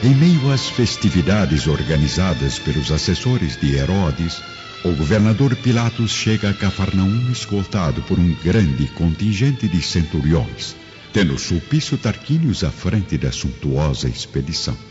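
A man's voice: deep voice, very animated, a little slow. A man narrating a radio drama in a dramatic, scripted style. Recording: dull lo-fi audio under loud music.

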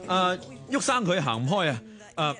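An actor playing a flustered, deferential office assistant in a Cantonese radio drama, hesitant and apologetic, with a Hong Kong accent. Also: male voice, sits high, very animated, moderate pace, a crowd in the background.

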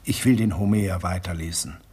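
A man's voice: sits low, moderate pace, a little mellow, husky. An actor playing a pompous, misanthropic male antique collector in a radio drama. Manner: pompous, disdainful. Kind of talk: German radio play acting.

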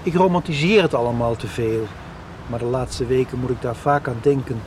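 A man acting as an earnest, middle-aged company director with a mid-pitched, hesitant way of talking. He sounds like a wistful radio soap character. Clear outdoor noise sits behind him. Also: normal speed, a little mellow, lightly acted. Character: earnest, wistful factory director from a farm family.

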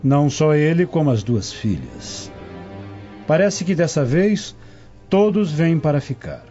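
Roman prefect acted by a man, slightly high, highly varied intonation, lo-fi sound. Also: sing-song, measured pace. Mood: dry, gossipy. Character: Roman prefect reporting political news to his wife. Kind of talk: radio drama acting. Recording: dull lo-fi audio with music.

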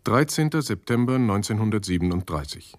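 Nüchterner Erzähler, tiefere Männerstimme, trocken aufgenommen. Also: male voice, lowish voice, lively intonation, no echo, clean studio sound. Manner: sober, factual, measured. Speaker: narrator of a radio documentary play. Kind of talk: scripted documentary narration.

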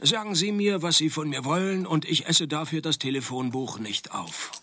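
An actor playing a sardonic private detective in a comic radio play, deadpan and wry. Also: male voice, on the high side, moderate pace, a little hiss or hum. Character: sardonic male private detective, dry and unimpressed. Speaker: detective and narrator.

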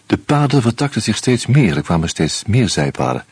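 An actor playing a wry, uneasy screenwriter who narrates a radio play in a measured, reflective way with hesitant fillers. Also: male voice, on the low side, big pitch swings, a little mellow, breathy edge, full character voice. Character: wry, uneasy male screenwriter caught up in murders.

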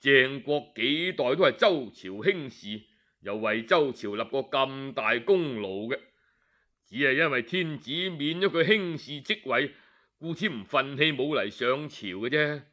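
A man's voice: medium pitch, expressive, unhurried, pushed and bright, voice pushed down. A man voicing a cautious royal adviser who pleads respectfully with his sovereign, in an audiobook tale. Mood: pleading. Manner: pleading, deferential.

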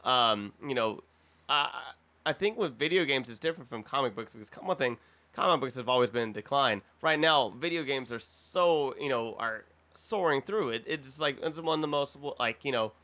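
A man's voice: medium pitch, animated, moderate pace, pushed and bright. A man co-hosting a casual panel discussion, talking in an opinionated way and swearing casually. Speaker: podcast co-host.

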